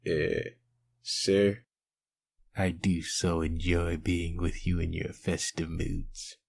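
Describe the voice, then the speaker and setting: A mild police sidekick played by a man answers in a comic dub with dry courtesy. The voice is deep, creaky and unhurried, deadpan throughout. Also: full character voice. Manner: deadpan, deferential. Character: meek, dryly polite police detective sidekick.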